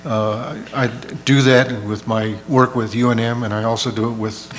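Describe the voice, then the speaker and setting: A man school board candidate answering at a public forum, halting and restarting his phrases. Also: medium pitch, level tone, slight background noise, low-quality recording.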